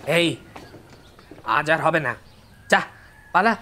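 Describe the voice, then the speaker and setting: A woman voicing a bossy, talkative caretaker man with a husky, emphatic delivery in an audio story drama. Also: low voice, animated, very slow, bright, forceful tone, pitched below the performer's own voice, heavily acted. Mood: scolding. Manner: commanding, scolding, emphatic.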